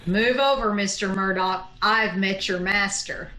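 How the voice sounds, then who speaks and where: A woman's voice: medium pitch, unhurried, bright, forceful tone. A woman playing a wry, wisecracking schoolteacher in an old-time radio sitcom, with a dry, sardonic delivery. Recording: roomy, echoey video-call recording.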